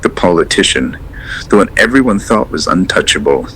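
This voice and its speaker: Guy playing a rambling radio caller, deep male voice, halting and earnest, noisy audio. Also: big pitch swings, bright, forceful tone, exaggerated voice. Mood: earnest, absorbed. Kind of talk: audio drama, naturalistic phone-in call.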